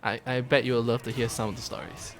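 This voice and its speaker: A man's voice: fast, gravelly. A man hosting a podcast in casual chat, playful and laughing often between remarks. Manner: playful, laughs often, lively rising and falling pitch. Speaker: podcast host.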